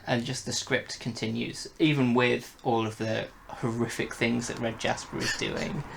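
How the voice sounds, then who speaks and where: A man's voice: medium pitch, steady tone, moderate pace, gravelly, a crowd in the background, poor audio. A man chatting casually and enthusiastically as he reviews an audio drama on a podcast.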